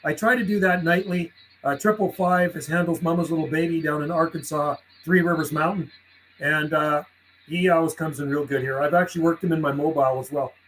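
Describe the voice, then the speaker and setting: A man's voice: fairly high pitch, steady tone, brisk, fairly bright tone, a little hiss or hum, low-quality recording. A man from western Canada chatting live on CB radio, laughing often and rattling off call signs and radio jargon. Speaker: CB radio operator streaming live. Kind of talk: casual on-air radio chat.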